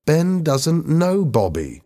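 A man's voice: high-pitched, big pitch swings, moderate pace, dark tone, voice pushed up. A man voicing an indignant, sarcastic teenage girl in a story reading. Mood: exclaiming.

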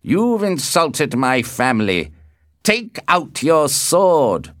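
A man playing a hot-headed, aggressive young nobleman in a storybook, shouting taunts and spoiling for a fight. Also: on the high side, very animated, slow pace, voice pushed up. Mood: angry, taunting.

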